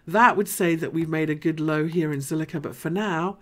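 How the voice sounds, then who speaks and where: A woman with a deep, low voice hosts a live market analysis stream. She is chatty and informal and thinks aloud as she works through each point. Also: fairly quick. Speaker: host.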